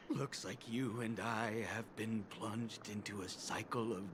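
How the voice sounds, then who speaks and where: An actor playing a gloomy, villainous guard who speaks in a theatrical, brooding, drawn-out way. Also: male voice, lowish voice, a bit bright, a little hiss or hum. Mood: brooding, dramatic. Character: melodramatic gloomy male guard of despair, villainous.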